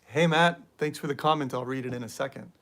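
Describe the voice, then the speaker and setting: A man's voice: medium pitch, fairly quick. Laid-back man chatting on a live stream. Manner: relaxed, rambling, with many fillers; reads viewer comments aloud. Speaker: spiritual channel host. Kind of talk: live-stream chat answering viewer comments.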